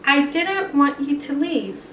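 A woman's voice: sits high, lively intonation, slow pace, a bit bright, heavily acted. A woman voicing a hungry, needy bear from a children's picture book, hesitant and making excuses. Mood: sheepish. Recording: echoey room, distant mic, some noise.

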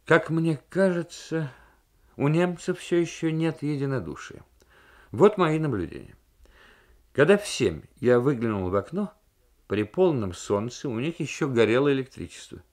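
A man voicing a witty, probing diplomat in a radio novel reading, arguing with deliberate irony. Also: fairly high pitch, animated, darkish tone, voice pushed up, natural voice. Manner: argumentative, ironic, deliberate. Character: witty, probing male Soviet diplomat in debate.

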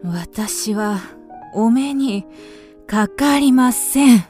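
An actress playing a haughty, grieving widow with refined, formal speech in a radio drama. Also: female voice, a little high, lively intonation, extremely slow, darkish tone, faint music behind. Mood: cold, withdrawn. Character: proud, grieving young widow, haughty and indignant.

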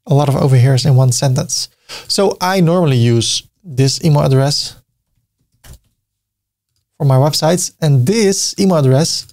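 A man's voice: mid-range voice, a little mellow. A middle-aged man from the Netherlands, a native Dutch speaker with a Dutch accent, hosting a website-building tutorial in a friendly, chatty way, cracking small jokes as he clicks through his screen. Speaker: tutorial host. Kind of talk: screen-recorded software tutorial.

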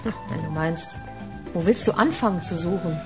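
A woman's voice: lowish voice, expressive, moderate pace, over music, lightly acted. A woman playing a cool, weary private detective in a radio play, short and matter-of-fact.

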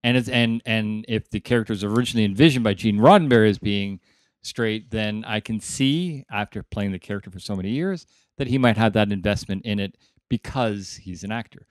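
A man's voice: on the low side, fairly quick, dry recording, studio quality. A man talking as a podcast panelist in a casual debate among friends, making his points in an emphatic, discursive way.

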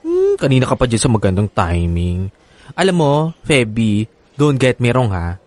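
Campy pinoy best friend, sassy and teasing, voice jumps all over. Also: male voice, medium pitch, wildly swooping pitch, normal speed, darkish tone, no room sound. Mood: concerned, probing. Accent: Filipino. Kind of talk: Filipino radio drama acting.

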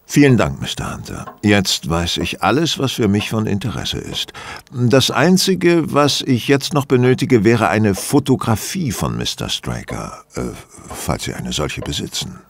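An actor playing a shrewd, courteous, self-assured detective in a German audio drama, speaking theatrically and deliberately. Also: male voice, lowish voice, big pitch swings, heavily acted. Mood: probing, brisk.

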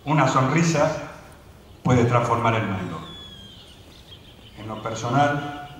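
A middle-aged man with an Argentine accent, a director giving a formal ceremonial speech at an outdoor podium, measured and paced in short phrases. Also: medium pitch, expressive, a little hiss or hum. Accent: Argentine Spanish. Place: outdoor courtyard of a building, at a podium. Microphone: podium microphone on a stand. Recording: echoey outdoor PA from far off.

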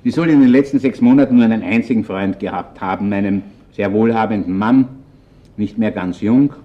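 A man's voice: on the low side, muffled recording, lightly acted. Shrewd Viennese police inspector, played by a man. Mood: pointed, deliberate. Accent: Viennese Austrian. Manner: colloquial, deliberate, insinuating. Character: shrewd, chatty male police chief inspector, calmly probing. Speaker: actor. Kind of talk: Austrian radio crime drama acting.

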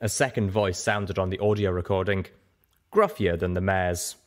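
A man's voice: low voice, steady tone, normal speed. A man reading a story aloud as scripted first-person narration.

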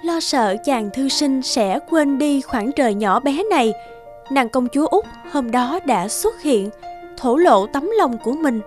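A woman with a high voice narrates a cartoon folk tale. Her delivery is scripted, in storybook style, and music plays underneath. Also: lively intonation, unhurried, darkish tone.

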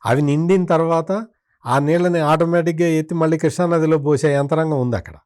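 A man's voice: on the high side, a little fast, darkish tone. A man speaking as a political commentator in an interview, in a critical, opinionated way with emphatic repetition.

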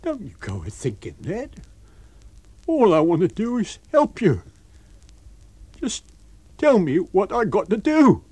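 A man's voice: high voice, big pitch swings, measured pace, slightly rough, pitched above the performer's own voice, full character voice. A man voicing a cowardly, miserable tramp in an audiobook, whining and pleading in rustic, uneducated speech. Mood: frightened pleading. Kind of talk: audiobook character voice.

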